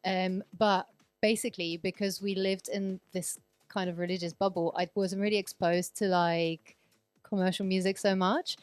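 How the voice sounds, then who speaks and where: A woman in her thirties, born in Poland and raised in Sweden, chats freely in a podcast interview. Her voice is smooth and clean. She rambles with lots of fillers, and the sound is close and dry. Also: mid-range voice, fairly even intonation, moderate pace, no room sound. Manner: chatty, rambling, many fillers and restarts. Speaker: guest DJ.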